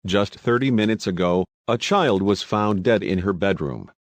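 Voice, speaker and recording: A man playing a sober local news anchor, reading a scripted newscast in a measured, formal way. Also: lowish voice, no echo, natural voice.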